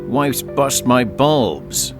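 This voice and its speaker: An actor playing a henpecked, plain-spoken junior cop who grumbles in a noir radio drama. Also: male voice, mid-range voice, animated, drawn-out words, over music. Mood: grumbling.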